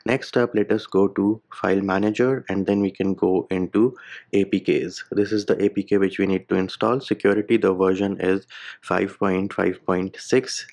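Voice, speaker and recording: A man's voice: low voice, vocal fry, darkish tone. A man hosting a tech tutorial, talking through a phone demo step by step in an informal, slangy way. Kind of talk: casual how-to narration over a phone demo.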